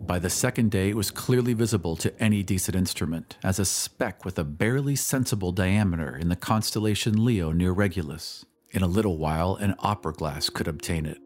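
A man narrating a story as a scripted dramatic reading, in a measured, solemn storytelling manner. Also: low-pitched. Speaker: podcast host and narrator. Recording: music behind the voice. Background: music.

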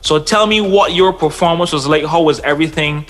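A man's voice: on the high side, fairly quick, a bit bright, soft background music. Man, interviewer, casual and eager, stumbling restarts. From Barbados. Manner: enthusiastic, stumbling over restarts.

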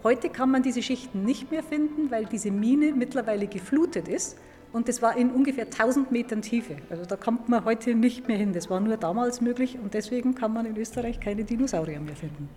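A woman's voice: fairly high pitch, a little fast, dark tone, soft background music. Woman explaining science in an echoing hall. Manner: clear, didactic, emphatic repetition. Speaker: museum paleontologist. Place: large echoing indoor museum hall. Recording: reverberant hall with background noise.

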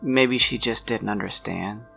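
An awkward young man in a tabletop drama, acted out by a man, with a low, gravelly voice. He speaks haltingly, full of guilt, often trailing off over soft background music. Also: heavily acted. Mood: guilty, regretful.

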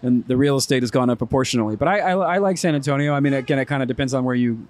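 A man in his thirties, originally from Texas, answering interview questions outdoors. He speaks in a halting, wry manner, often restarting his sentences. His voice sits at an ordinary middle pitch. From Texas, USA. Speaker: interview guest. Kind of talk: casual conversation. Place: outdoors in a city neighborhood.

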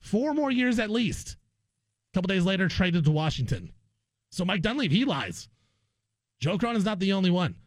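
A man's voice: high voice, big pitch swings, normal speed, pauses often, dry recording. A man hosting a sports talk show, delivering an emphatic, argumentative opinion monologue and repeating phrases for effect. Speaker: sports radio host.

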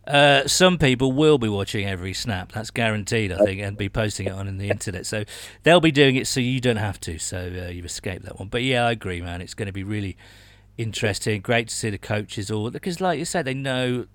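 A man's voice: lowish voice, a little fast, a bit bright, no echo. A British man co-hosting a sports podcast in a casual, enthusiastic, blokey way with emphatic phrasing. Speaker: podcast host.

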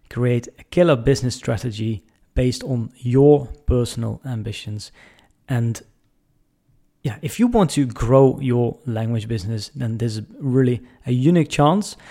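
A man's voice: mid-range voice, vocal fry, warm tone. A man hosting a podcast, introducing a guest and reading a sponsor message in an upbeat, promotional way. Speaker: podcast host. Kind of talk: podcast interview and sponsor read.

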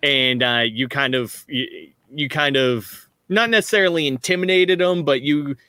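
A man's voice: medium pitch, normal speed, pushed and bright, no echo. A man narrating as game master in a tabletop roleplaying game, rambling with frequent restarts. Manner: rambling, many restarts, laughs often.

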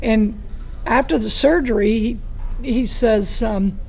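A middle-aged man with a raspy voice, a former touring golfer telling a story in casual podcast chat, rambling with restarts and fillers. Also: high voice, slow, darkish tone, slight background noise. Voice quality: raspy from throat scar tissue.

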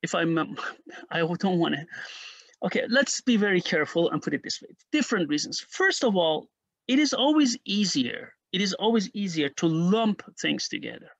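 A man's voice: moderate pace, vocal fry, no echo. A middle-aged man, a native Persian speaker from Iran, explaining history at length in an interview with emphatic, restarting speech. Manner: emphatic, restarts often, animated pitch jumps. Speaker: historian guest.

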